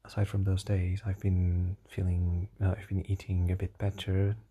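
A tired young man with a slightly hoarse voice, rambling hesitantly through a casual diary vlog with many fillers and restarts. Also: sits low, completely flat delivery, normal speed, warm tone, dull audio. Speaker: vlogger.

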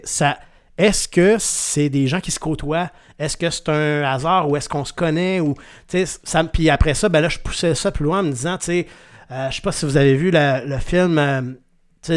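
A man with a Québécois accent interviewing musicians, asking long, hesitant, rambling questions. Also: on the high side. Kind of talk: casual interview.

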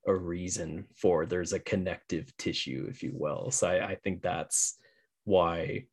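A man's voice: low-pitched, unhurried, breathy edge. A man interviewing someone in a casual, earnest conversational way, speaking appreciatively in informal phrases.

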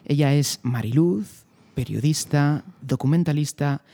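A man from hosts a relaxed podcast interview. His voice is gravelly and dark. He asks his questions in an easygoing, unhurried way. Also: a little high. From Spain. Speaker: podcast host. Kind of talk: casual interview chat.

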